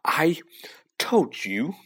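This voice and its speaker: A man's voice: fairly high pitch, big pitch swings, unhurried, voice pushed up. A man voicing a bossy, anxious young schoolgirl in a storybook, insistent and scolding. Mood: breathless scolding.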